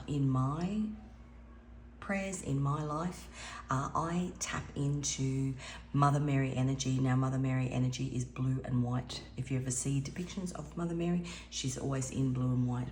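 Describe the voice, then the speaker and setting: Woman, low pitch, raspy, tarot reader, earnest and rambling, echoey room. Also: darkish tone. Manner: meandering, earnest, with frequent restarts. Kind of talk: spiritual card reading monologue. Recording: echoey room with faint background noise.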